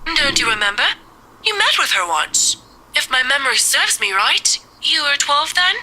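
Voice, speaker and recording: An actress playing a gentle, doting middle-aged mother in a visual novel, speaking softly and affectionately. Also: sits high, sing-song, normal speed, slight background noise, exaggerated voice, poor audio.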